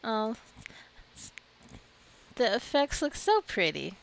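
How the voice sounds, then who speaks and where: A woman's voice: fairly high pitch, wildly swooping pitch, slow, creaky, poor audio. A woman giving casual gameplay commentary, chatty and giggly, often talking straight to her game characters. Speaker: gameplay video creator.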